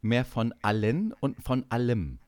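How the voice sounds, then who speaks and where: A man's voice: mid-range voice, lively intonation, measured pace, a little mellow, no room sound. A man co-hosting a podcast in casual, joking chat with an ironic, mock-earnest manner. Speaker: podcast co-host.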